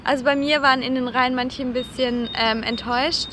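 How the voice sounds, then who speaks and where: Young woman, casual student chat, high pitch, noisy outdoor recording. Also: a little fast. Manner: lively, lots of fillers and restarts.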